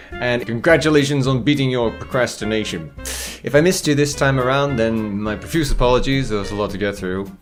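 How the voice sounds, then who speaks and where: A middle-aged man narrating a showcase video as host, in a playful, jokey and theatrical scripted style. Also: mid-range voice. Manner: jokey, wry, theatrical phrasing. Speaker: host presenting viewer submissions.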